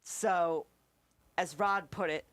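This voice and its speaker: A woman co-hosts a casual review chat, giving her take on what she has watched. Her voice sits slightly low for a woman. The recording is dry, with hardly any room sound. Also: takes it slowly, dry recording. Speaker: co-host reviewer.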